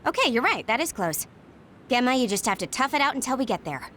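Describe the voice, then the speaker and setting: A woman's voice: fairly high pitch, big pitch swings, fast, a bit bright, slight background noise. A woman playing a lazy but caring senior soldier in an anime game, casual and nonchalant, with music behind her. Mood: concerned. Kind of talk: English anime game voice acting.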